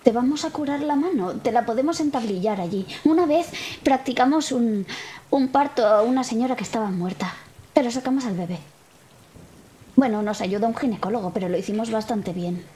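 A soft-toned, mellow woman's voice, pitched fairly high. She speaks with a Spain accent, improvising dialogue as a player in a tabletop role-playing session. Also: a little fast.

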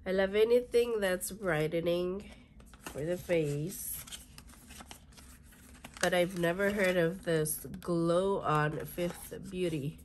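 Hoarse, raspy woman chatting slowly. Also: fairly low pitch, slight background noise. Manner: halting, chatty, reads product labels aloud. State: hoarse, congested from illness. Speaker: beauty vlogger. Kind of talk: casual unboxing chat. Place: small bedroom with fluffy chair, indoors.

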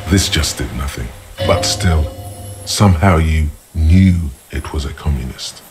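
An actor voicing the brooding inner voice of a detective's mind, narrating a video game in an ominous, deliberate way. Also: male voice, extremely low pitch, a little hiss or hum, heavily acted. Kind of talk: scripted second-person game narration.

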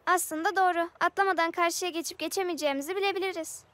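A woman's voice: extremely high pitch, steady tone, quick pace, a bit bright. A woman voicing a curious, thoughtful young child creature in a children's cartoon, speaking in an earnest, reasoning way. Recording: music under the voice.

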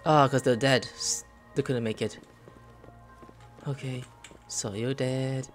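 A young man casually commenting on gameplay, joking and getting loud when excited. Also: medium pitch, slow, faint music behind. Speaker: gaming streamer.

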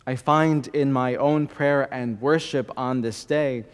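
A young man preaching a sermon as a priest, slow and measured, with deliberate pauses, at a church lectern microphone. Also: mid-range voice, steady tone, clean tone. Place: large church, indoors. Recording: long church reverb.

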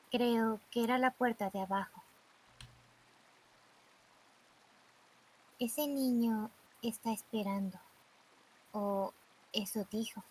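A woman voicing a quiet, emotionless teenage girl in a live fan dub of a game, speaking in a flat, calm, breathy way. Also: a little high, slight background noise, close to an everyday voice, poor audio. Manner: flat, calm, slow questioning.

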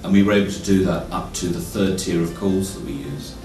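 A man's voice: deep voice, animated, brisk, music in the background. A man who manages an ambulance service, speaking matter-of-factly in a documentary interview. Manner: matter-of-fact. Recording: distant, echoey mic.

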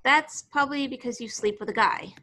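A woman plays a casually aloof young love interest with a bright, forward voice. She is blunt, dry and teasing, pitched a little high, in the relaxed style of an amateur screenplay read-through. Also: brisk. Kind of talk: amateur screenplay table reading. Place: online group video call.

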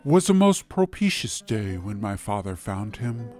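A man's voice: lowish voice, big pitch swings, a little slow, darkish tone, soft background music. A man voicing a genial young prince who speaks in a grand, courtly way.

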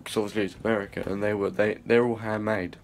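Young lad rambling and swearing on a handheld phone vlog, kinda low voice. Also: male voice, little pitch movement, quick pace, creaky, slight background noise. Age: young adult. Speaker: vlogger. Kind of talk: casual vlog chat. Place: small indoor rooms and a hallway. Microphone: phone held at arm's length.

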